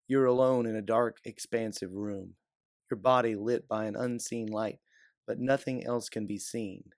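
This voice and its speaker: Low, gravelly male audiobook narrator. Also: steady tone, a little slow, no room sound, clean studio sound. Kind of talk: second-person scripted adventure narration.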